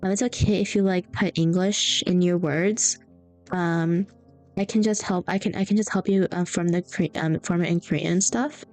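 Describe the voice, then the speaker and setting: A woman's voice: fairly low pitch, fairly even intonation, moderate pace, a little mellow. A young woman streamer chatting casually and rambling through stories while she draws. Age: young adult. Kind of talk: casual chat while drawing. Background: faint background music.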